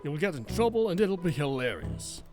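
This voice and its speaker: A man's voice: a little high, big pitch swings, normal speed, music in the background. Wry, sardonic male officer played by a man. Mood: firm, amused. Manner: sardonic, teasing. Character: wry, teasing adult male military superior officer. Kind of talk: animated fandub comic voice acting.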